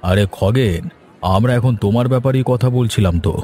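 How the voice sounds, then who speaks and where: A man voicing a paternal village landlord in a fairy-tale cartoon, with a measured, patronly manner. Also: medium pitch, dark tone, a faint crowd, a little hiss or hum, close to an everyday voice. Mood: pleased, encouraging. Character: paternal village landlord, encouraging, quietly self-interested.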